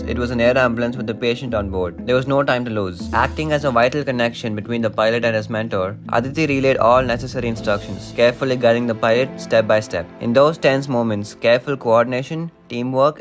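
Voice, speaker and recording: Male narrator, brisk pace, scripted documentary read, music underneath. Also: mid-range voice. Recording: music bed behind voice. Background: background music.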